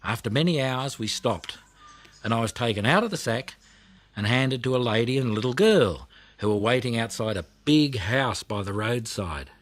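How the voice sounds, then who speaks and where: A motherly female koala from a children's storybook, acted out by a man. She tells her tale dramatically and plaintively, with lively swings of pitch. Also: moderate pace, dry recording, lightly acted. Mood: indignant, rueful. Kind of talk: children's audiobook character reading.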